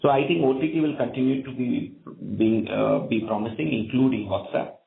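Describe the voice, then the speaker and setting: A man's voice: medium pitch, steady tone, a little fast, creaky, slight background noise. A man speaking as a company executive on an earnings call, explaining business results and often checking in with "right?". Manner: frequent "right?" check-ins. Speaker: company executive answering analysts. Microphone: conference call line. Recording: phone-like narrow band, echoey conference line.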